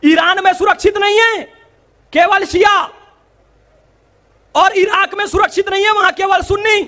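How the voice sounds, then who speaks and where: High-pitched, emphatic man giving a speech. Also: fairly even intonation, moderate pace, pushed and bright. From India. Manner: emphatic, repeats phrases for effect, rhetorical questions. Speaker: public speaker at a debate. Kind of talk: impassioned public speech.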